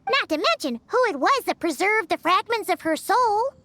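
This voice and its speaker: A tiny, childlike female fairy from a fantasy game. Her squeaky voice is extremely high and bright, swinging eagerly through exclamations and questions. She sounds excitable and animated. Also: normal speed, no echo, heavily acted. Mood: pleading. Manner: exclamatory, inquisitive, refers to herself in third person. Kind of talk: scripted video game dialogue.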